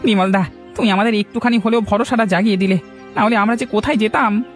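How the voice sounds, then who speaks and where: A humble, fretful village man voiced by a man with an extremely high-pitched voice. He talks plainly and earnestly in a cartoon, faint music underneath. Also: steady tone, fast talker, close to an everyday voice. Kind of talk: cartoon dialogue.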